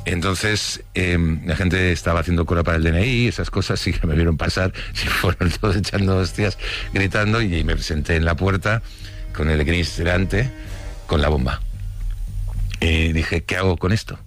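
A man's voice: low voice, moderate pace, soft background music. A middle-aged man from Spain recalling his childhood in a loose, casual storytelling manner, full of restarts. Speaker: interviewee. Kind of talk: recounting childhood memories.

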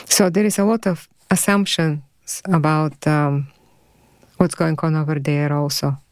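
A low-pitched woman with a Bulgarian accent speaks slowly and thoughtfully, pausing to find her words. She is in her fifties and a native Bulgarian speaker, answering questions as a radio guest. The sound is dry and close. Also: steady tone, no echo. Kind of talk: interview answers.

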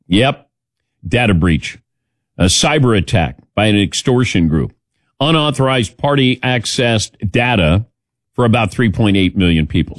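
A male radio host talking sports in a casual, opinionated way. His pitch moves around a lot, and the sound is dry and close, with a wry edge to his delivery. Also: dry recording.

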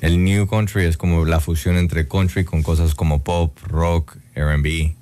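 A man's voice: low-pitched, little pitch movement, measured pace, warm tone, soft background music. A man from Guatemala, a singer chatting in an interview, thoughtful and full of "eh" fillers and tag questions. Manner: reflective, many "eh" fillers and tag "¿no?". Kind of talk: casual interview chat.

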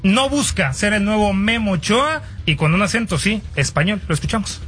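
A man hosting a sports radio show, teasing his co-hosts in quick banter with fillers. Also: sits high, expressive, a bit bright, husky.

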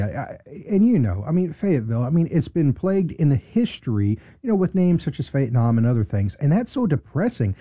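A man's voice: mid-range voice, no echo, muffled recording. A middle-aged man from South Carolina with an American accent, talking earnestly as a guest in a conversational interview. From South Carolina, United States. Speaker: charity officer, interview guest.

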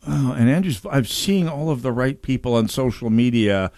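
A man's voice: medium pitch, expressive, normal speed, a little mellow, dry recording. A male talk radio host with a mid-range voice, chatting casually about politics. He rambles and slips in joking asides, recorded very dry with no room sound.

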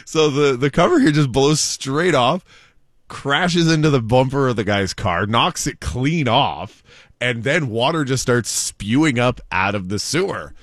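A man's voice: mid-range voice, lively intonation, a little slow, no echo, studio quality. A man co-hosting a talk show, retelling offbeat news in a casual, jokey way. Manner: chatty, jokey storyteller. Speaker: talk show co-host telling news stories.

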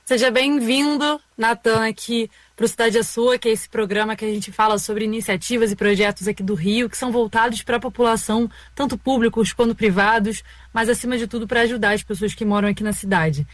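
A woman radio host from Rio. Her voice sits a little high, and the recording is dry, with very little room in it. She presents and asks questions as in a radio interview. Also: steady tone, a little fast, few pauses, no echo. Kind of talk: radio interview and programme introduction.

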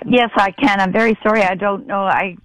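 A woman's voice: medium pitch, steady tone, fairly quick, no echo, poor audio. A woman speaking over the phone in a live radio interview, explaining a senior center program in an earnest way with some hesitation. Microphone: telephone.

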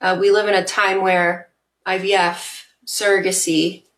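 A woman's voice: mid-range voice, flat delivery, unhurried, fairly bright tone, reverberant. A woman guest in a podcast interview, speaking earnestly and reflectively with occasional fillers. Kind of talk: casual interview chat.

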